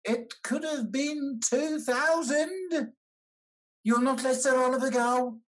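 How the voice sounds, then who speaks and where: Man playing halting, courteous old moneylender. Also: very high voice, a bit bright, exaggerated voice. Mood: resigned, warm. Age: older. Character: older gentleman posing as a polite, hesitant moneylender. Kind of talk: period comedy stage acting over video call. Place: dim room indoors, video call.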